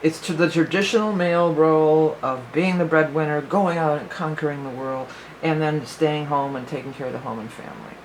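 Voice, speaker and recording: A woman's voice: deep voice, a little fast, few pauses, a little hiss or hum, rough recording. A woman giving a casual spoken astrology forecast as a psychic reader, rambling and thinking aloud with many fillers, her voice a little worn.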